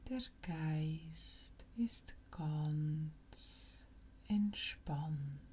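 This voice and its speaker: A woman with a low, mellow voice guides a relaxation exercise extremely slowly. Her delivery is soft, breathy and close to a whisper, with long pauses between short phrases. She sounds calm and soothing throughout. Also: a little hiss or hum. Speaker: yoga nidra instructor.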